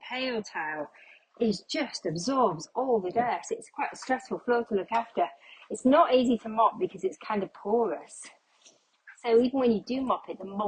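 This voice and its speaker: A woman's voice: a little high, darkish tone, a little hiss or hum, low-quality recording. Breathless, slightly congested woman. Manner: chatty, self-deprecating, thinks aloud. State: a little out of breath, losing her voice. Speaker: cleaning vlogger. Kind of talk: casual chat while cleaning. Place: home kitchen while mopping.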